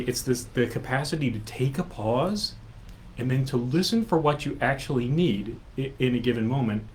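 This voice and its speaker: A man's voice: mid-range voice, a little hiss or hum. A man co-hosting a casual chat, telling a personal story in a reflective, rambling way, often adding "right?". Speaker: music teacher, co-host.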